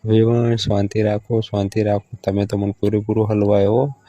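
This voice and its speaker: Male actor as placating hard-up lover, Gujarati accent, very mellow dark tone, dry close sound, casual phone chat. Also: lowish voice, flat delivery, moderate pace, no echo, highs cut off, lightly acted. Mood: exasperated, placating. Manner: placating, bargaining. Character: hard-up village in-law lover, stalling and placating. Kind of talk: staged casual phone conversation.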